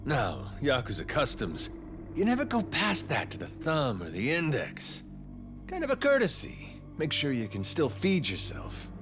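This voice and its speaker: A man's voice: fairly high pitch, wildly swooping pitch, unhurried. A man playing a menacing, playful yakuza pirate captain in a video game dub, with a theatrical, folksy, taunting manner. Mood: mocking menace. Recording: music behind the voice.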